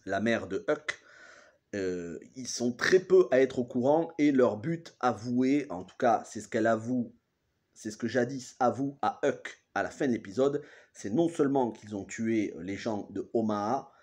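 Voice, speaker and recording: A man's voice: medium pitch, expressive, a little slow, a little mellow. A man in his thirties with a cold, recapping a TV episode in a casual, hesitant way and often correcting himself mid-sentence. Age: 30s. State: has a cold. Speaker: TV series reviewer.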